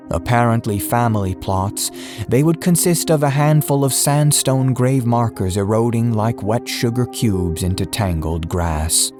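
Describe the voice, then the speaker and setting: Low-voiced man narrating a scary story. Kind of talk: scripted audiobook narration. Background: background music.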